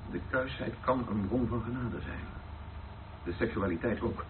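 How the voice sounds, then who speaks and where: A man acting an earnest, defiant priest in a Dutch radio play, speaking deliberately. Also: medium pitch, lightly acted. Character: defiant, earnest priest, passionate about earthly love. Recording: noisy, narrow phone-like old radio recording.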